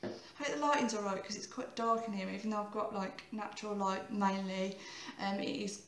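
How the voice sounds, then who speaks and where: Chatty woman, croaky voice. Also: mid-range voice, steady tone, fairly quick, roomy sound, slight background noise. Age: 30s. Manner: chatty, rambling, self-correcting. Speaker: makeup tutorial host. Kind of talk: casual makeup tutorial.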